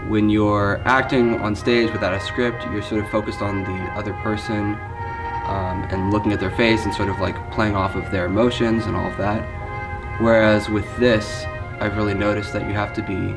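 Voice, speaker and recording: A man's voice: lowish voice, flat delivery, moderate pace, recorded from a distance, music in the background, low-quality recording. A male stage actor reflecting thoughtfully on his work in a behind-the-scenes interview.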